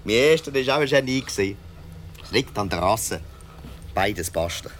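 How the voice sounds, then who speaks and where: A man's voice: lowish voice, animated, moderate pace, fairly bright tone, a little hiss or hum. An actor with a Swiss accent playing a middle-aged man in a radio play, reminiscing playfully in a musing way. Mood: delighted. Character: reminiscing grown man, playful with his dog.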